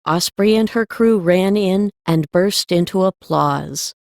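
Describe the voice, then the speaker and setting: A woman's voice: on the low side, takes it slowly, creaky, a little mellow, no echo, clean studio sound. A woman narrating a scripted story as an audiobook reader.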